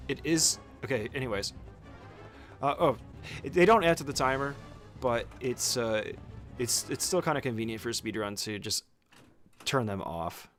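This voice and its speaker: A man's voice: medium pitch, animated, normal speed, a bit bright, faint music behind. A man giving an informal, casual walkthrough commentary as a speedrunner teaching a game route, swearing now and then.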